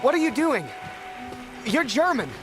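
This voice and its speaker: A man's voice: very high-pitched, sing-song, a little slow, full character voice. A man playing a naive, earnest and anxious young war photographer in a dramatic video game. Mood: dazed, confused. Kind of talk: reflective narration and dramatic game dialogue. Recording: music and rain behind. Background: music, rain.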